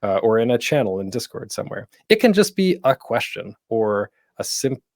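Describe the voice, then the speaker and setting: A man's voice: mid-range voice, sing-song, normal speed, a little mellow, no room sound, studio quality. A man presenting an instructional panel in a casual, informal way, often breaking off into asides. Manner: informal, self-interrupting, tangential asides.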